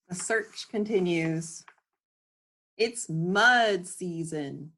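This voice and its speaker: A woman reading a children's book aloud at home in an unhurried way, explaining things in casual asides as she goes. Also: lowish voice, animated, a bit bright, rough recording.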